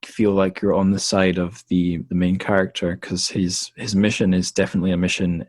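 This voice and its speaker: A man's voice: low voice, little pitch movement, brisk, darkish tone, no room sound, studio quality. A man hosting a podcast interview, talking in a thoughtful, self-deprecating way and laughing often. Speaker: podcast host. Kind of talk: casual interview conversation.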